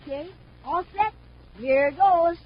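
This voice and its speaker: Brief: excited young kid sidekick, high voice, muffled vintage radio advert. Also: female voice, slow pace, noisy background. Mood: eager, excited. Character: eager young child sidekick in a space-toy advert. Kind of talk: 1950s radio commercial acting.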